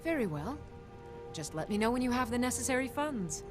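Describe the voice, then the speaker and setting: A polite, businesslike steward woman in a fantasy video game. Her fairly high voice moves with lively, formal courtesy, and music sits behind it. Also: fairly quick. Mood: courteous. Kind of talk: video game character dialogue.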